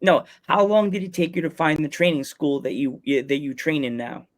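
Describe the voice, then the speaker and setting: A man's voice: fairly high pitch, animated, a little fast. A man hosting a wrestling podcast interview, enthusiastic and often restarting his questions. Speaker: podcast host. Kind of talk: casual interview.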